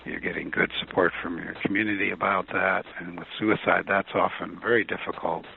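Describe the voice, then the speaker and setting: A man being interviewed as an author on a radio show, speaking calmly and in a measured way with some fillers and restarts. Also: low voice, gravelly. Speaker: author and guest. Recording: phone line with faint noise.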